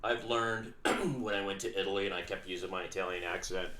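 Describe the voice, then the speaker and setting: A man co-hosting a podcast, speaking in a slow, dry-humored way with many "um"s. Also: deep voice, steady tone, pushed and bright. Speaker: podcast co-host. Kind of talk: casual chat.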